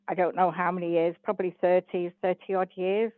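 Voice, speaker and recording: A woman's voice: fairly low pitch, flat delivery, fairly quick, no echo. Older woman rambling on a tinny phone line. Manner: chatty, rambling. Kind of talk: introducing herself on a call. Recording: thin phone-like line, dry.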